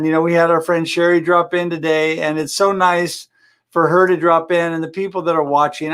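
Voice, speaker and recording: A man's voice: a little high, a bit bright. A man hosting a live show, talking in a friendly, upbeat way with his guest and viewers. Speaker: host. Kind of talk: casual live-stream chat.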